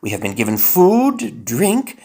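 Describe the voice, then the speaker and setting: A man's voice: fairly high pitch, wildly swooping pitch, a little slow, dark tone, exaggerated voice. A man playing a pompous master of ceremonies for an opera troupe in an audio drama, announcing in a florid, declamatory way. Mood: ceremonious. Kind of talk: theatrical audio drama acting.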